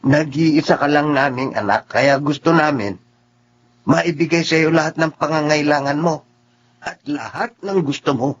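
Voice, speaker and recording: A man's voice: fairly high pitch, moderate pace, lightly acted. A man with a Filipino accent playing a proud, patient but stubborn forty-year-old father with dwarfism in a radio drama. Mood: fond, generous. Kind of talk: Filipino radio drama acting and first-person narration. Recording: muffled audio with music underneath.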